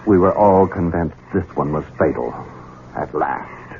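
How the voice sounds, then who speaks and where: A deep-voiced, menacing, bitter son. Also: male voice, a little slow, slight background noise. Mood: coldly menacing. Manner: theatrical, gloating, mock-tender toward his mother. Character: bitter, greedy, resentful grown son of thirty-eight, menacing. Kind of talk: 1970s radio mystery drama acting. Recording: muffled old radio broadcast, loud music.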